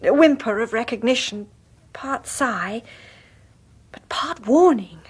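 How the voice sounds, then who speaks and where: Breathy, anxious young mother, high-voiced. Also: lively intonation, exaggerated voice. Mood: tense, awed. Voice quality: breathy, husky. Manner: hesitant, hushed, dramatic pauses. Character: anxious young mother, haunted and unsettled by her husband. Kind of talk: radio horror drama acting.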